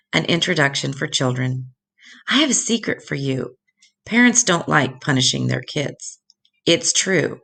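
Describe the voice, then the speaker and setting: Low, creaky-voiced woman reading to children. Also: fairly bright tone. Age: older. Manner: deliberate, instructive, addressing children directly. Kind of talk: read-aloud children's Bible stories with asides.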